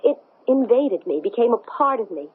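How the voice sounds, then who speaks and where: Troubled astronaut, fairly high voice, very animated, tense, 1970s radio drama, tinny. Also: female voice, breathy edge. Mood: grave, insistent. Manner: tense, halting, insistent. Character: troubled, intense woman astronaut possessed by an alien.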